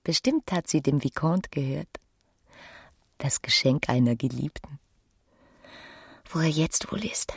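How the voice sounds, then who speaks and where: An actress playing a restless, dreamy and disdainful young wife in a radio drama, with a breathy voice. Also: female voice, low voice, lively intonation, unhurried, long pauses, dry recording, clean studio sound, full character voice. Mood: dreamy longing. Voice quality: breathy, at times whispering. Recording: music behind the voice.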